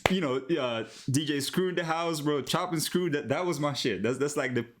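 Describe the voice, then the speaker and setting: A man's voice: on the high side, moderate pace. A young man from Colombia chatting casually with viewers during a live coding stream, laid-back and slangy, restarting words and sometimes dropping into Spanish, speaking into a headset. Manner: relaxed, slangy, switches into Spanish, frequent restarts. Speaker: live-streaming app developer.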